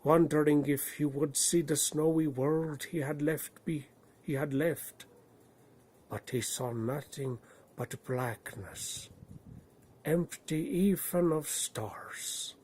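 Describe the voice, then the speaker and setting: A man narrating a bedtime story in a put-on Norse accent, with a husky voice and slow, dramatic phrasing that swells and hushes. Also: a little high.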